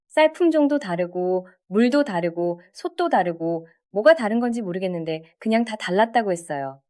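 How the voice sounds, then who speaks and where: A woman narrator tells a scripted story with a lively, animated pitch. She keeps it conversational and speaks straight to the listener, as a storyteller would. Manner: conversational, addresses listeners with rhetorical questions.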